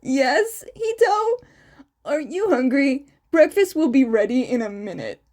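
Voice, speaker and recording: Lady doing an excitable worried dad in a story, emphatic, high swoopy voice. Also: unhurried. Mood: caring, puzzled. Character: excitable, worried, caring man looking after his family.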